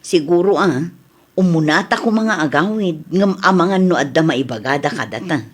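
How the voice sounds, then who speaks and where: A woman plays an easygoing, practical madam of the house in a comedy audio drama. She has a slightly low voice and an Ilocano accent. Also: lively intonation, normal speed, husky. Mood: calm.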